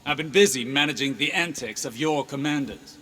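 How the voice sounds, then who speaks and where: An actor playing a shrewd, authoritative advisor in a video game, dry, pointed and formal in delivery. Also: male voice, mid-range voice, brisk, sharp tone, lightly acted. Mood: dry, challenging. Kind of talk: dramatic game dialogue.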